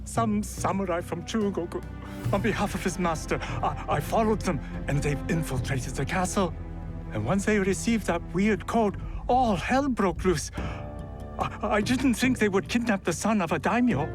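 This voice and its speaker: Hapless man, game drama: high, swooping voice, cowardly, whiny, excitable, clear music behind. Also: normal speed. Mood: nervous, resigned. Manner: flustered, whiny, excitable, stammering. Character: panicky, cowardly, hapless husband fleeing trouble. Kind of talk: video game cutscene dramatic acting. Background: background music.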